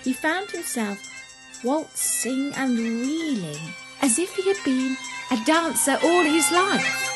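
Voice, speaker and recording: A woman narrating a children's fairy tale in an expressive, deliberate storytelling manner. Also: sits high.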